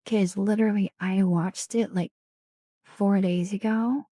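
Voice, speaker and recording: Soft raspy woman, slow and mellow. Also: fairly even intonation, no room sound, clean studio sound. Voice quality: raspy, deeper than usual. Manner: soft-spoken, often drops into whispers; chatty, greets and thanks viewers. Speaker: streamer. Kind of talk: casual ASMR live-stream chat.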